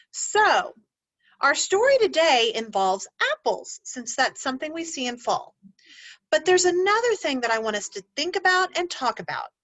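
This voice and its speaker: A woman teacher reading a picture book aloud to children, pausing to explain words and ask gentle questions. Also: sits high, no room sound, poor audio.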